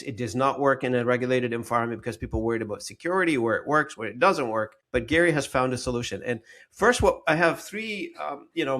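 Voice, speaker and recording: A man's voice: mid-range voice, a bit bright. Man hosting a conversational interview. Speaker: podcast host.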